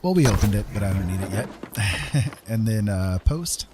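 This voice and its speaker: A man giving casual gameplay commentary, muttering and thinking out loud as he plays. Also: on the low side, expressive, moderate pace, noisy background. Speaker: game streamer playing through a new game. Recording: game music behind the voice. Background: video game music and sounds.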